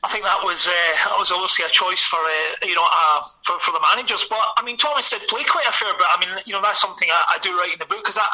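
A man's voice: sits high, fast, hardly any pauses, bright, forceful tone, low-quality recording. A middle-aged man from Scotland with a Glaswegian accent, an author being interviewed, talking in a hesitant, restarting way. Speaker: author guest. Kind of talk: conversational interview.

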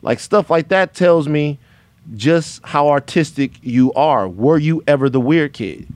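A male host runs a loose interview, excitable and quick to joke. His voice sits a little high for a man. The recording is dry and close, with no room sound. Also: dry recording. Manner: animated, excitable, joking. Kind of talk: casual interview.

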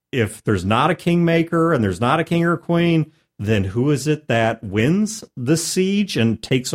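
A man's voice: fairly high pitch, a little mellow. A man hosting a podcast as a game master, telling a casual, emphatic story about a tabletop game.

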